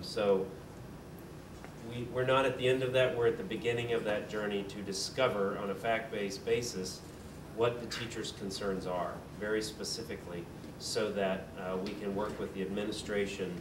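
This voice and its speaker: Low guy running a school board meeting, lots of uhs, echoey room, far off mic, noisy. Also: steady tone. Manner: measured, with frequent uh fillers and restarts. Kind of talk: formal meeting, explaining procedure.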